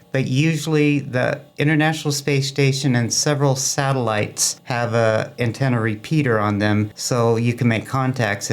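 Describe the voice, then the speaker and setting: A man speaking as a club guest in a casual interview, rambling and enthusiastic as he explains and tells anecdotes. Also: medium pitch. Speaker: amateur radio club guest.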